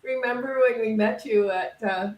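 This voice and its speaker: A woman with a fairly high voice co-leads a service in a casual, conversational style. She sounds some way from the microphone, in a room with clear echo. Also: normal speed, crowd noise. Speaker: co-leader of a spiritual center service. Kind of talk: affirmation responses and casual chat. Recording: echoey room, distant microphone.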